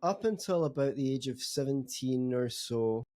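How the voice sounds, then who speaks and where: Male guest, mellow tone, even and matter-of-fact, dry close mic. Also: medium pitch, normal speed, no echo. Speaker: podcast guest. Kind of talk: personal testimony in conversation.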